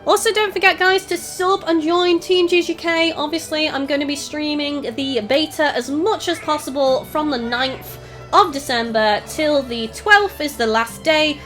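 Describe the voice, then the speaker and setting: A high-voiced woman hosts a casual game livestream in a Scouse accent with a little Welsh in it. She projects her voice as she reads out chat and comments, with music playing underneath. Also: moderate pace, a bit bright. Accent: Northwest English Scouse with a touch of Welsh. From Northwest England. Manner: raised, projecting streamer voice. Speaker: livestream host, gamer. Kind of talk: casual live gaming commentary, reading out chat. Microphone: desktop USB microphone.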